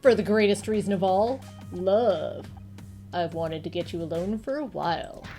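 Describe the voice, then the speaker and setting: A woman voicing a dim, smooth-talking flirtatious man in a visual novel game, with a suggestive drawl. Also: mid-range voice, normal speed, warm tone, faint music behind, natural voice. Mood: seductive.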